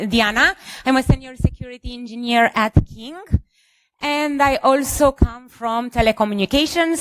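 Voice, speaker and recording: Higher-voiced Romanian woman presenting. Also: clean tone. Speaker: security engineer, conference speaker. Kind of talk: conference presentation with light jokes.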